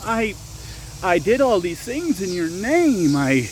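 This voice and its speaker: A man's voice: high voice, big pitch swings, slow pace, slight background noise, pitched above the performer's own voice, heavily acted, poor audio. A man voicing would-be believers pleading and listing their good deeds, in a raised, insistent voice.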